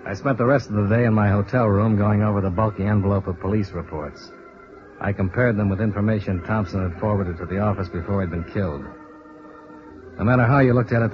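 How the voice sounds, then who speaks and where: An actor playing a hard-boiled American insurance investigator in a vintage radio drama, cool, terse and steady in narration and dialogue. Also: male voice, deep voice, natural voice. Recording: muffled, noisy old radio recording.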